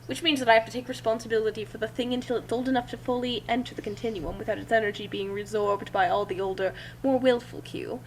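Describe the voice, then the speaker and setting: A woman's voice: on the high side, fairly even intonation, quick pace, hardly any pauses. A woman reading a first-person story aloud in a theatrical, emphatic manner. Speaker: reader of fan fiction. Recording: noisy amateur recording with hum.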